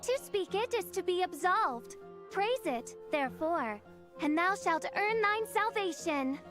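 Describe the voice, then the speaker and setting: A woman's voice: very high-pitched, animated, unhurried. A woman voicing a haughty, theatrical teenage girl who poses as a princess in an anime game, speaking in pompous archaic phrases. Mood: imperious. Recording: game music behind the voice.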